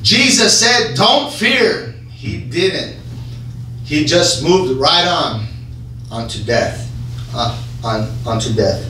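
Fervent high-voiced preacher man in echoey church. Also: big pitch swings, slow. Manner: fervent, often shouting, with call-and-response. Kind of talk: sermon. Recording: echoey church room, from far off, faint hum.

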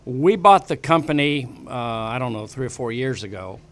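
Older man, studio interview guest, rambling storyteller, lots of fillers and restarts, desk mic, average pitch. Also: expressive, moderate pace. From western United States. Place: small studio with bookshelves. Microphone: desk broadcast mic on boom arm.

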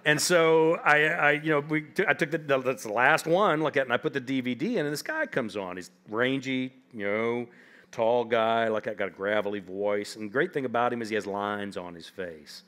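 A man's voice: medium pitch, moderate pace, bright, forceful tone. A man in his fifties, an author telling funny anecdotes on a library stage before a seated audience, rambling with lots of "like" and "you know". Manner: rambling, humorous, heavy on "like" and "you know" fillers. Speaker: author guest.

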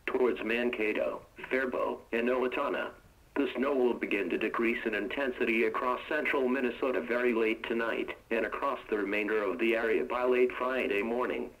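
A man's synthetic voice reading a weather forecast for a weather radio broadcast in a steady, even, monotone delivery. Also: mid-range voice, highs cut off.

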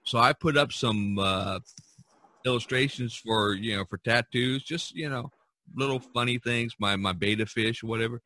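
Bright-voiced man chatting as podcast host. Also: mid-range voice, normal speed. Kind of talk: casual podcast chat.

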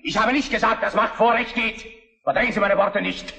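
An actor playing an imperious, defiant Prussian prime minister in a German historical radio play, speaking with biting, commanding oratory. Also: male voice, high-pitched, steady tone, brisk, vocal fry, sharp tone, exaggerated voice. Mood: scornful, defiant. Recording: echoey parliament hall, long reverb.

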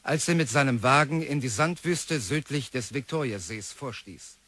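An actor playing a formal reader of newspaper reports in a radio drama, factual in manner. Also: male voice, mid-range voice, lively intonation, normal speed, sharp tone, no echo. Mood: matter-of-fact. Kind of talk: scripted news-report reading in radio drama.

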